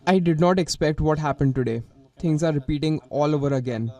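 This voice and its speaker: A man's voice: on the high side, fairly quick, warm tone. A man delivering a statement within a news report.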